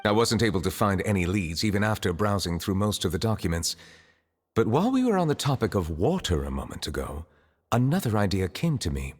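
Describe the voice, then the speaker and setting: An actor playing a solemn, reserved man of high office in a video game, speaking in a formal, measured, reflective way. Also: big pitch swings, husky, big-room sound. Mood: composed. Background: music.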